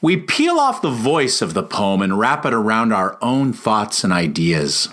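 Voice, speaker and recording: Male author-narrator, low voice with wide pitch movement, measured and emphatic educational read-aloud. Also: big pitch swings, a bit bright. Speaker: teacher and author. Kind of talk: read-aloud of own book, scripted narration.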